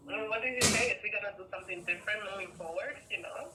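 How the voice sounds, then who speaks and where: A woman's voice: mid-range voice, rapid-fire, roomy sound, distant mic, heavy background noise. A woman with a cold, sounding congested, asking probing follow-up questions and giving advice in a casual conversation.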